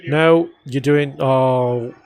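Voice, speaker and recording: A British man streaming a casual live commentary of a football match, talking in a subdued, low-key way with small chuckles and restarts. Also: steady tone, extremely slow, a little mellow. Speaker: watch-along streamer.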